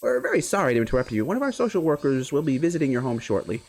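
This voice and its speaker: A man's voice: mid-range voice, very animated, racing, darkish tone, slightly rough. A man voicing a polite but firm social worker who speaks formally and insistently.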